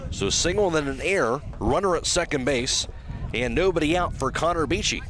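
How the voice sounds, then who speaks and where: Man, American accent, radio baseball announcer, fairly high voice, clipped pitch-by-pitch calls. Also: animated, brisk, background noise. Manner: clipped phrases, calling each pitch as it happens. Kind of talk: live radio play-by-play commentary. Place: at an outdoor high school baseball game.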